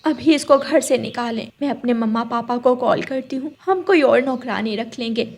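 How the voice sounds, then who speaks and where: A woman's voice: on the high side, moderate pace, a little mellow. A woman voicing a spoiled, demanding young female bird who orders everyone around in a cartoon. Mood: indignant. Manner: rude, demanding.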